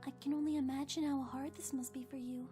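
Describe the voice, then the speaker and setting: A woman playing a kind, sympathetic young female pony investigator in a cartoon, with a gentle, careful manner. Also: sits high, level tone, fast talker, lightly acted. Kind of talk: animated cartoon acting. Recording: music behind the voice.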